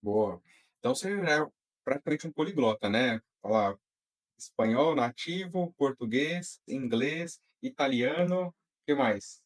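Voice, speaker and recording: A man hosting a casual interview podcast. He speaks at a medium pitch in a relaxed, easygoing manner, with plenty of fillers. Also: measured pace. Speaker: podcast host.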